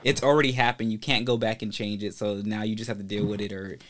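A man's voice: lowish voice, little pitch movement, fast talker. A man hosting a podcast in a casual interview chat, rambling with many fillers and restarts. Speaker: podcast host.

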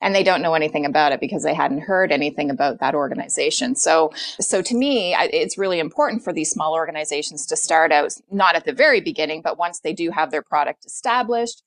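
A woman's voice: sits low, animated, quick pace, few pauses, dry recording. A middle-aged woman guest consultant answering interview questions in an earnest, explanatory way, often repeating words as she thinks.

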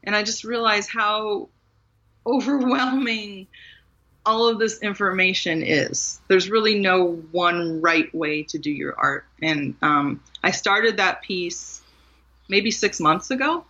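A woman's voice: medium pitch, slow pace, sharp tone, lo-fi sound. A woman from Maryland, an author and memoir teacher, chatting as an interview guest and laughing often. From Maryland, USA. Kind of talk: casual conversational interview.

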